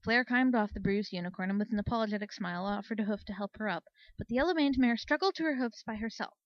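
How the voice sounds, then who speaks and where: A woman's voice: mid-range voice, fast, no echo. Woman reading a story, dry slightly dull recording. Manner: expressive storybook reading with character voices. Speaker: story reader. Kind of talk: scripted narration of fan fiction.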